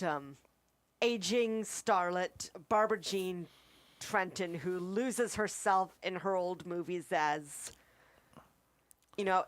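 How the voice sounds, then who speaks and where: A woman co-hosts a casual review chat, giving her take on what she has watched. Her voice sits slightly low for a woman. The recording is dry, with hardly any room sound. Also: dry recording. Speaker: co-host reviewer.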